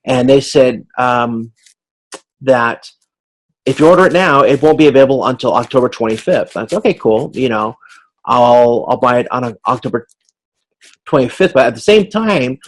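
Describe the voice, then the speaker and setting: A man speaks in a medium-pitched voice as a class instructor. His delivery is informal and explanatory, working through points in a halting, conversational way. He sounds like he is thinking aloud while he teaches. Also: lively intonation, poor audio. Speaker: instructor leading a class video conference.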